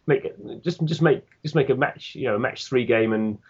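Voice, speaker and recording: A man co-hosting a casual podcast, talking in quick tumbling bursts with many restarts. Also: medium pitch, darkish tone, breathy edge. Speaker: podcast co-host. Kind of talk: casual chat. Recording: dull lo-fi.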